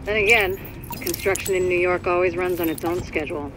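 A woman's voice: on the low side, steady tone, a little fast, background noise, close to an everyday voice. A woman playing a composed, dryly wry commander who gives businesslike mission briefings in a video game. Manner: businesslike, dry.

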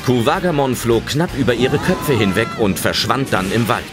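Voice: medium pitch, lively intonation, normal speed, loud music behind the voice, close to an everyday voice. A narrator telling a children's adventure audio drama in dramatic scripted narration.

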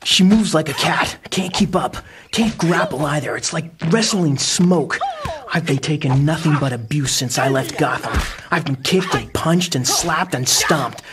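A man playing an earnest, principled teenage hero in a comic-book audio drama, with a rough, husky voice. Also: fairly high pitch, unhurried, noisy background, close to an everyday voice. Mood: tense narration.

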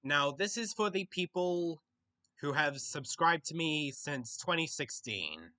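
A young Australian man reads out viewer questions and answers them off the cuff on a webcam in a small bedroom. His voice is bright and fairly high, and his pitch swings up and down a lot. He often stops, restarts and thinks aloud. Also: lively intonation. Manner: halting, with frequent restarts and pauses to think. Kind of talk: casual Q&A chat.

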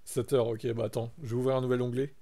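A man's voice: medium pitch, flat delivery, fast, dark tone. A young man from France chatting casually on a live stream, reacting to his chat and reading text aloud.

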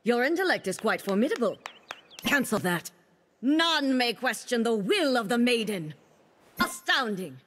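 Imperious cosmic maiden in reverb. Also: female voice, a little high, big pitch swings, measured pace, a bit bright, a little hiss or hum. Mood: haughty, stern. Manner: formal, haughty, commanding. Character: imperious cosmic maiden, celestial keeper of the stars. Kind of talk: video game character voice lines. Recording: long reverb on game voice.

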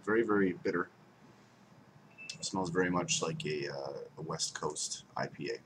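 A man's voice: deep voice, normal speed, background noise. A young man casually reviewing a beer at home, rambling and repeating words for emphasis, recorded on a desk webcam. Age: young adult. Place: home room by window blinds, indoors.

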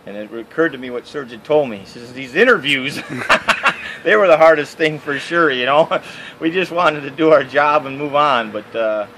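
A middle-aged man with a Michigan accent, answering interview questions outdoors in a halting way with many fillers. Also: fairly high pitch, noisy background. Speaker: interviewee, canoe racer. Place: outdoors by trees and a house.

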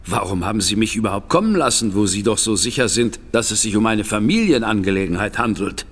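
A man's voice: lowish voice, lively intonation, fast talker. An actor playing a shrewd, composed male detective in a German audio drama, formal, courteous and inquisitive. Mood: probing.

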